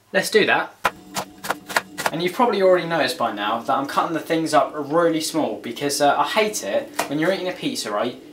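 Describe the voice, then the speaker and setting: A young man casually narrating a home cooking vlog in a playful, cheeky way. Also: on the high side. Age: young adult. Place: small home kitchen, indoors. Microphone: camera a short distance away. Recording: clear background noise, home camera microphone.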